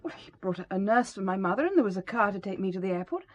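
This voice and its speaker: A woman's voice: medium pitch, expressive, fast, no echo, lightly acted. A woman playing an anxious, loyal secretary, hesitant, earnest and polite in an audio drama. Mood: anxious, relieved. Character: anxious, loyal British secretary, deferential but perceptive.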